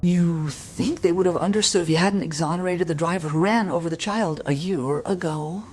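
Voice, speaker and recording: An actress playing a troubled, inquisitive woman in a crime radio play, with a husky voice. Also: on the low side, moderate pace, darkish tone, slight background noise, close to an everyday voice. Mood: probing. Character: troubled, inquisitive woman quietly investigating an accident. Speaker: voice actor in a crime audio drama.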